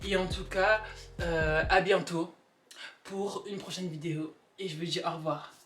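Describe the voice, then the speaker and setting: A woman chatting casually and comically to camera, emphatic and animated, repeating words for effect. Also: fairly low pitch, measured pace. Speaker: vlogger. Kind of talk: casual comedic opinion chat to camera. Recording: echoey room, distant mic, background music.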